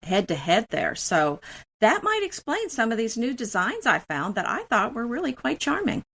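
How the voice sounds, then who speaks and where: A woman's voice: fairly high pitch, wildly swooping pitch, normal speed, vocal fry, a bit bright, husky. A woman co-hosting a casual home decor chat, describing finds in a friendly, conversational way. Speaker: co-host.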